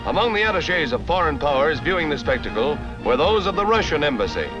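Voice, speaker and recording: Male American newsreel narrator, 1950s style: dramatic, very animated, over loud music on a dull old soundtrack. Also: fairly high pitch. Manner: brisk, dramatic announcer delivery.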